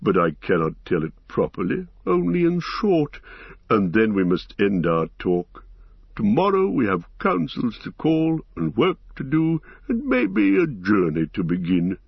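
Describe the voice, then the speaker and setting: A man voicing an ancient, slow-spoken male tree giant, unhurried and musing, with a gravelly, husky voice. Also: fairly low pitch, wildly swooping pitch, dry recording, lo-fi sound, exaggerated voice. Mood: storytelling.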